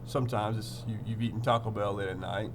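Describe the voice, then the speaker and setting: A man's voice: fairly low pitch, steady tone, a little fast, noisy background. A man teaching through a storybook read-aloud, playful and theatrical, repeating phrases and chatting to his pupils.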